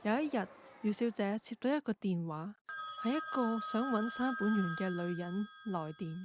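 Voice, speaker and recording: A woman's voice: mid-range voice, animated, moderate pace, background noise. A woman narrating a scripted radio drama.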